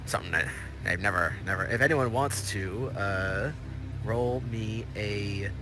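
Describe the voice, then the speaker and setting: A man puts on a big-shelled male crab alien broker with a Southern drawl, tripping over his own words as he pitches a job. His voice sits low and pushes loud, and music plays underneath. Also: measured pace, natural voice. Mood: rambling. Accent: Southern US, as the figure. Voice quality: stammering, talks in tangled restarts.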